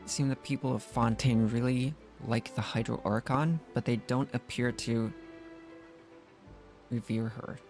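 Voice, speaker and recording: A streamer giving casual gameplay commentary in halting, puzzled asides as they react to dialogue. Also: medium pitch, fairly even intonation, darkish tone. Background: faint game music.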